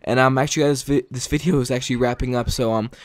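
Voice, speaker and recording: A man's voice: medium pitch, fairly even intonation, fast talker, no room sound. Tired man stammering through game commentary. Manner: stutters, many ums and likes. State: tired, late at night. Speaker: gaming video commentator. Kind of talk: casual gameplay commentary.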